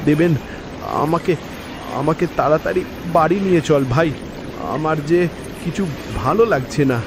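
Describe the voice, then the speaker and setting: A man voicing a village fisherman in an animated moral story. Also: fairly high pitch, a little slow, noisy background. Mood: weak, suffering. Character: village fisherman, cheerful then weakening and gasping.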